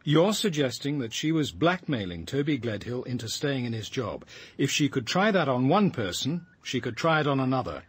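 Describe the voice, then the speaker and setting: An actor playing a reserved, stern British police detective, dry, clipped and measured, in a radio drama. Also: male voice, medium pitch, big pitch swings, slightly rough. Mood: probing. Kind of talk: radio drama acting and first-person narration.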